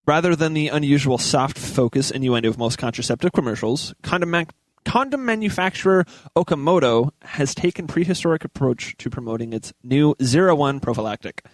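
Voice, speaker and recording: A man with a slightly high voice reads news items aloud for a casual comedy show. He stumbles now and then, and the sound is close and dry. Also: animated, a little fast, dry recording.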